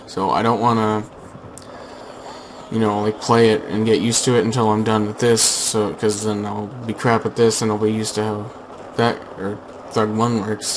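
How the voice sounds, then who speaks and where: Slightly hoarse young man, rambling gamer. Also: lowish voice, slight background noise, low-quality recording. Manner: rambling, filler-heavy chatter with frequent restarts. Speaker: gaming channel host. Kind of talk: casual gameplay commentary. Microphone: headset.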